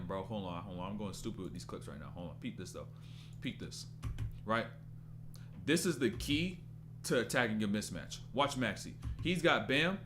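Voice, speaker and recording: Low-voiced young man streaming chat. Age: 20s. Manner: loose and rambling, breaks off to read chat. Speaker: basketball breakdown streamer. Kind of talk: casual live-stream commentary.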